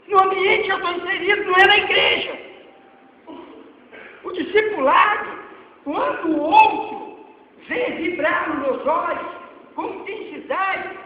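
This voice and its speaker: Man in his forties, Brazilian accent, very high voice, dull lo-fi church recording with long reverb. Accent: Brazilian Portuguese. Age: 40s. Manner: swings between loud exhortation and quiet asides. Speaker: preacher. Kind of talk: sermon with slides. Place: church hall. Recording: echoey hall, dull lo-fi sound, faint noise.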